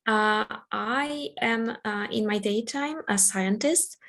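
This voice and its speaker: Croatian-born woman scientist panelist, gravelly, halting. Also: on the high side, fairly bright tone. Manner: halting, many filler pauses. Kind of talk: online panel discussion.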